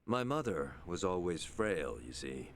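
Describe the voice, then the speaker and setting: Very deep, brooding avenger. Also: male voice, sing-song, exaggerated voice. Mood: cold, bitter. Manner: measured, brooding, contemptuous. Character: bitter, vengeful, cold-hearted son of a ruined yakuza family. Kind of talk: dramatic video game cutscene monologue. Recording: rumbling background noise.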